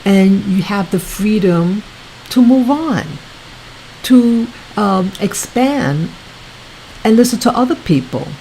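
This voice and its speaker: A woman hosting her own video channel, talking casually to her viewers in a soft, soothing voice, halting often and repeating herself. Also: medium pitch, animated, a little hiss or hum, poor audio. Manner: slow, repetitive, halting with many restarts. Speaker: YouTube channel host.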